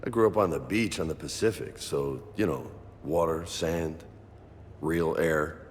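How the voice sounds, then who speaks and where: A deep, slow-talking laid-back soldier. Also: male voice, in a large hall, a little hiss or hum. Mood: teasing, wistful. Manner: casual, unhurried. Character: laid-back young male soldier, teasing, homesick beach boy. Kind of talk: video game dialogue acting.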